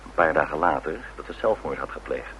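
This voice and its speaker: An actor playing a cool, terse private detective in a radio play, deadpan and clipped. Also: male voice, rumbling low, a little fast, muffled recording. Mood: cool, accusing. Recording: noisy old radio drama recording.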